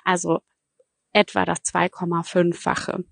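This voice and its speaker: A woman's voice: fairly low pitch, level tone, unhurried, creaky, no echo. A woman from Germany, a journalist giving conversational, explanatory commentary in an interview.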